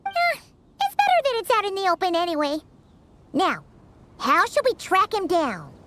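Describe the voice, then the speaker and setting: A woman voicing a small, cheeky, impatient fairy companion in a video game, with a squeaky childlike voice and a whiny, exclamatory manner. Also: sing-song, moderate pace, bright, forceful tone, heavily acted. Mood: matter-of-fact.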